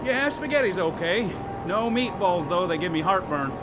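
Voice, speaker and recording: An actor playing a brash, wisecracking American co-pilot on a wartime bomber in a radio drama. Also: male voice, sits high, animated, normal speed, music in the background. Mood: joking, casual. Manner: wisecracking, colloquial.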